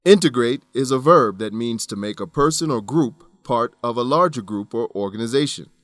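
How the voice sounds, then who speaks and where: A man reading scripted educational narration for an English lesson, enunciating clearly in an instructional manner. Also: medium pitch, lively intonation, normal speed, fairly bright tone, no room sound. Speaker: narrator of an English-teaching radio program.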